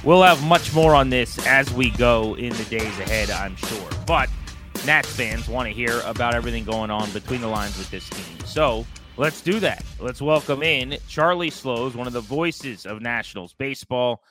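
A man's voice: mid-range voice, moderate pace, over music. An American man hosting a baseball show, setting up questions in a sports talk style.

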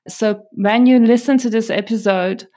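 Woman from Turkey, podcast co-host, calm considered delivery. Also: fairly high pitch, moderate pace. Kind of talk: conversational podcast talk.

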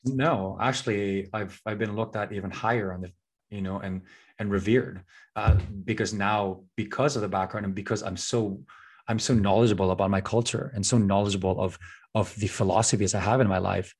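A man's voice: lowish voice, fairly even intonation, fairly quick, slightly rough. A man from the Philippines, a podcast guest, talks about identity in an earnest, searching way and often repeats words as he gathers his thoughts. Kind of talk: reflective casual conversation.

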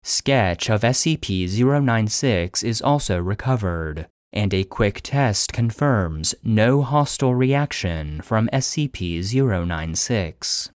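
A man playing an archive database that reads incident logs in an audio drama, formal, measured and impassive. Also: on the low side, lively intonation, dry recording, studio quality. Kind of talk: scripted narration in an audio drama.

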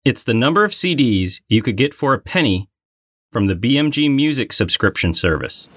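A man co-hosting a podcast in casual, playful banter, with an excitable, mock-dramatic streak. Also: mid-range voice, normal speed, no echo, clean studio sound. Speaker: podcast co-host and trivia game player.

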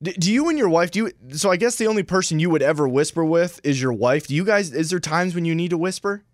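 A man's voice: fairly high pitch, expressive, fast talker, dry recording, studio quality. A young man co-hosting a sports radio show, laid-back and easygoing, rambling through casual banter. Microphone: studio mic with headphones.

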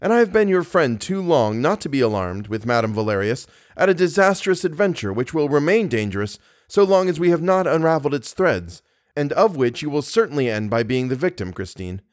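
A man's voice: on the high side, big pitch swings, a little fast, no echo, clean studio sound. A man voicing an anxious, courteous young nobleman in love, formal and impassioned. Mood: earnest, courteous.

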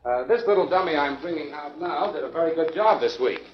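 An actor playing an obliging, slightly fussy department store window decorator in a 1940s radio drama, explaining politely. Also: male voice, fairly high pitch, animated, a little fast, noisy background, close to an everyday voice. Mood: polite, busy. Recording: dull, lo-fi 1940s radio recording.

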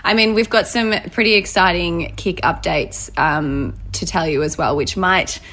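Upbeat, lower-voiced woman podcast co-host. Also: a little slow, a bit bright, low-quality recording. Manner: upbeat, enthusiastic, giggly. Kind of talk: casual podcast chat.

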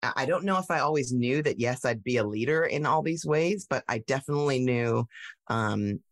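A woman tech founder telling her story in a casual interview, animated, with many fillers and restarts. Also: a bass voice, fairly quick, no room sound, studio quality. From United States.

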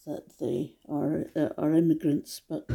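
An older woman, gently reminiscing in conversation and often restarting her sentences. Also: low voice, steady tone, unhurried, vocal fry. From Scotland. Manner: gentle, reflective, with frequent restarts. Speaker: interviewee, mother.

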